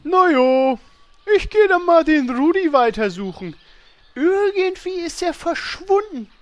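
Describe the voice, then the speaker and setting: Casting: performer as cheeky fantasy sidekick, squeaky-high, slow and very animated, radio comedy. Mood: casual. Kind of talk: comedy audio drama acting.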